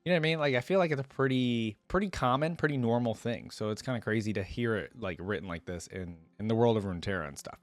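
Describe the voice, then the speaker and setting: A man's voice: medium pitch, no room sound. A man reading a story aloud as a reaction host, with informal, slangy asides, recorded close on a microphone.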